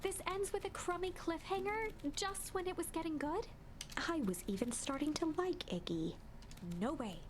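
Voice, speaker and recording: A woman's voice: extremely high pitch, animated, normal speed, noisy background. A woman playing a wry, opinionated narrator in a video game, reading the story in a dramatic storybook style. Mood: indignant. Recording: music playing behind the voice. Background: game music.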